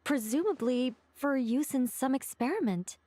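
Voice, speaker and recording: Game role: poised, enigmatic woman, higher register, deliberate and courteous, close dry studio sound. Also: lively intonation, dark tone, no echo. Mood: explanatory. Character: calm, knowing, mysterious woman who reveals secrets. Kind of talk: video game voice acting, measured explanation.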